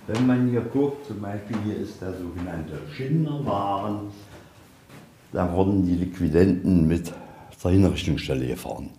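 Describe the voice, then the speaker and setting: A man with a very deep, mellow voice leads an informal tour. He rambles in a folksy way, tagging his sentences and spinning anecdotes as he goes. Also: animated, moderate pace, a little hiss or hum. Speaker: museum guide. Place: inside a museum, on location.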